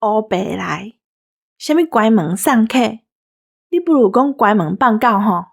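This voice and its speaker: A woman's voice: medium pitch, very animated, unhurried, gravelly. A woman with a Taiwanese accent co-hosting a show in casual, bantering conversation. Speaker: co-host. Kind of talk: casual bantering podcast chat.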